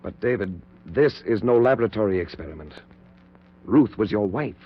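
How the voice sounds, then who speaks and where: A muffled 1940s radio recording carries an anxious male surgeon, loyal but reluctant. His voice is fairly low and husky, with a hesitant, solemn delivery of someone troubled in conscience. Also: lively intonation, normal speed. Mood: troubled protest. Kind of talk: 1940s radio drama acting.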